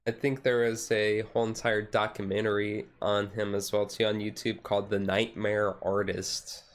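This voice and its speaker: A man's voice: fairly low pitch, little pitch movement, normal speed. A man streaming a casual, laid-back ASMR chat in a rambling way, with music behind him.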